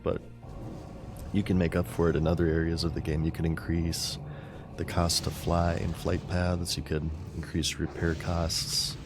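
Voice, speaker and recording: A man streaming a game and chatting casually with viewers, rambling and thinking aloud, his voice scratchy and clearing his throat. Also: sits low. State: scratchy, failing voice.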